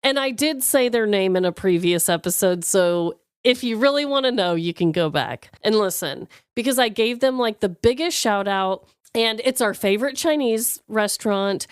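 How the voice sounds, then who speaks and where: A woman with a smooth, clear voice and very lively intonation chats as a podcast co-host. She is expressive and informal, recorded close and dry. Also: medium pitch, moderate pace, hardly any pauses, dry recording. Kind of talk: casual chat.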